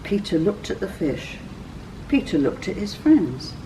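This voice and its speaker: A woman reading a scripted children's story as a church storyteller, with expressive, clearly phrased delivery. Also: mid-range voice, measured pace, distant mic, noisy background.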